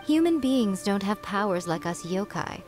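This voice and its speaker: A woman's voice: mid-range voice, lively intonation, moderate pace, darkish tone, faint music behind, natural voice. A woman voicing a sly, wise fox-spirit shrine priestess in a video game, composed and lightly teasing as she lectures.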